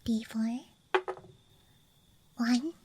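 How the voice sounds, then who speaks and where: Woman, breathy whisper, high soft tone, close-mic ASMR, gentle murmur, noticeable background noise. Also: steady tone, very slow. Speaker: ASMR streamer playing a dice goblin persona.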